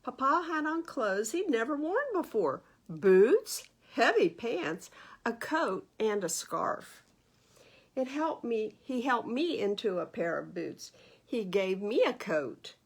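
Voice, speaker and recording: A woman with a fairly high voice reads a children's story aloud. She takes it very slowly, giving each phrase room. Her delivery is expressive, as a storytime librarian would be, and she speaks directly to her young listeners. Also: breathy edge. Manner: expressive, asking listeners questions. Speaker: librarian reading stories to children. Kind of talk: read-aloud children's storytime narration with asides.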